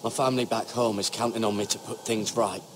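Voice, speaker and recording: A man's voice: fairly low pitch, fairly even intonation, brisk, lightly acted. A man playing an earnest, determined young ranger in a video game drama, serious and resolute. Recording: rainstorm noise behind.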